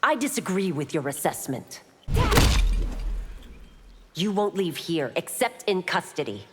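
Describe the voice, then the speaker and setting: An actress playing a stern, resolute law officer and warrior in a video game, with a firm, formal manner. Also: female voice, lowish voice, big pitch swings, unhurried. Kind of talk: video game heroine acting. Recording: reverberant arena with clear background noise.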